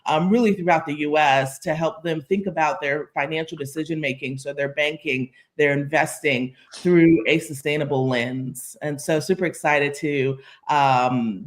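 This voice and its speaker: A woman's voice: low-pitched, steady tone, moderate pace, hardly any pauses. A woman speaking as a panelist, introducing her professional background. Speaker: panelist, climate finance leader.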